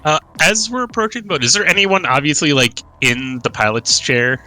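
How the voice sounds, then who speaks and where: A man's voice: fairly high pitch, brisk, pushed and bright, faint music behind, low-quality recording. A man playing a tabletop game, talking hesitantly with frequent restarts. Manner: hesitant, lots of ums and restarts. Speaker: player. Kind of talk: casual tabletop roleplay.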